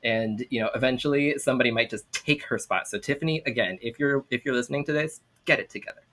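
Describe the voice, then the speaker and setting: A man's voice: medium pitch, fast talker, bright, forceful tone. A man hosting a talk show with playful banter, speaking in a campy, sassy, theatrical way.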